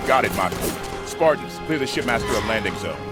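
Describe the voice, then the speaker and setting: A man playing a steady squad leader in a military video game, clipped and authoritative. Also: mid-range voice, fairly quick, lightly acted. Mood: calm command. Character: steady, commanding male soldier leading a squad. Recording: loud game music and gunfire.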